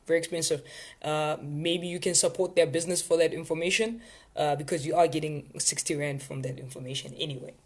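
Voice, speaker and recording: A man's voice: on the high side, steady tone, brisk. Man, South African accent, live session host, casual, chatty, rambling, playful. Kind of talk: casual live chat with viewers.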